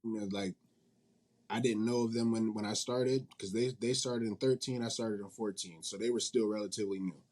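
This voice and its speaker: Male interview guest, low relaxed voice, casual and colloquial, leans on repetition to make points. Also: fairly quick. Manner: informal, colloquial, emphatic repetition. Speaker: guest, indie manga publisher and company CEO.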